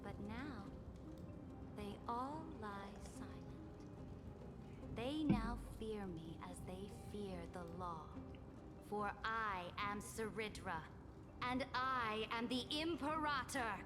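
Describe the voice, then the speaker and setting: A woman playing an imperious, ruthless emperor in a video game, speaking in a commanding, theatrical, deliberate way. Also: mid-range voice. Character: imperious, ruthless female emperor passing judgment on traitors. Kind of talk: dramatic video game voice acting. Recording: long hall reverb, music underneath.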